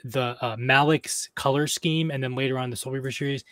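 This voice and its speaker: Dry-voiced man theorizing with many pauses. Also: medium pitch, steady tone, fairly bright tone, dry recording. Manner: thinks aloud with hesitant fillers and restarts. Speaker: podcast co-host discussing game lore. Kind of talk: casual chat, theorizing.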